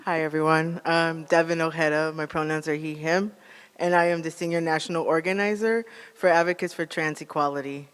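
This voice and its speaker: A man's voice: on the high side, steady tone, a bit bright, clean tone. Earnest American man speaking, long reverb. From United States, born in Peru. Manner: earnest, measured. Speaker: national organizer, advocate. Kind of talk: prepared advocacy speech.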